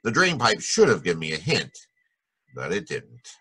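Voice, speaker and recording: A man playing a hard-boiled private detective in a 1940s-style radio drama, wry, brisk and tough-talking, with a husky voice. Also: deep voice, huge pitch swings, pushed and bright, full character voice. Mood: wry narrating.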